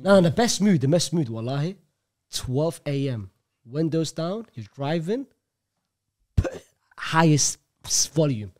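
A man's voice: on the high side, sing-song, a little slow, a little mellow. A young man guesting on a casual podcast, playful and emphatic, speaking into a studio mic. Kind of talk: casual chat. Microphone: studio mic on a boom arm.

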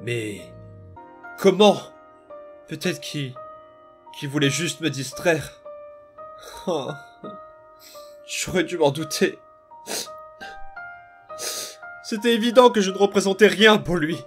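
A man's voice: a little high, lively intonation, takes it slowly, pauses often, faint music behind. A man playing a bitter, wounded demon boy in a dramatic comic dub, brooding and menacing. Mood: hurt, bitter.

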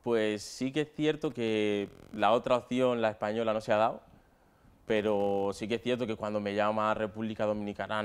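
Young male footballer, twenty, Madrid accent, smooth voice with fairly flat intonation, chatting in an interview. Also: on the low side. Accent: Madrid Spanish. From Spain, Madrid area. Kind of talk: casual interview answers. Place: stadium dugout with plastic seats.

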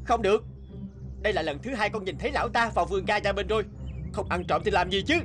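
An actor playing an emotional, hot-tempered young son in a cartoon, with dramatic delivery. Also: male voice, sits high, quick pace, a bit bright. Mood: angry. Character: hot-tempered, resentful young man, later remorseful son. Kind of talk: cartoon dubbing. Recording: clear music under the voice.

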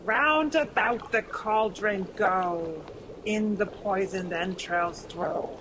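Woman playing a slow chanting witch in a radio play, high voice, hissy recording. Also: close to an everyday voice. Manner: chanting incantations. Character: cackling old female witch over a cauldron. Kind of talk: amateur radio drama acting.

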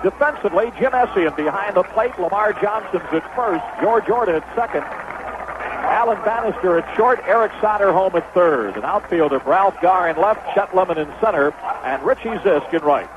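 Male radio baseball play-by-play announcer, slightly high pitch, thin vintage AM sound. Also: expressive, moderate pace, phone-quality sound. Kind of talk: radio sports commentary. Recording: old AM radio broadcast, thin, noisy.

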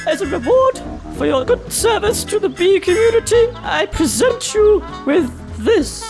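A woman's voice: very high voice, very animated, measured pace, over music, exaggerated voice. A woman playing a fretful, plaintive female bee in a cartoon video game, melodramatic and gossipy. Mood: grateful, gossipy. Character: fretful, plaintive female bee mourning her stolen hive.

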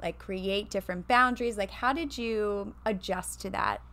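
A woman's voice: mid-range voice, normal speed, a bit bright. A woman in her thirties co-hosting a therapy podcast in a studio, chatty and full of questions and anecdotes. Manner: chatty, asks questions, storytelling. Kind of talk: casual podcast chat. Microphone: studio mic with headphones.

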